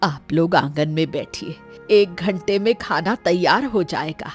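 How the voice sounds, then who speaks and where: A woman's voice: lowish voice, a little slow, natural voice. A woman playing a shrewd, caring old village grandmother in a cartoon story, speaking in an assured, coaxing way. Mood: assured, bargaining. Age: older. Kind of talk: scripted cartoon story acting. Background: music.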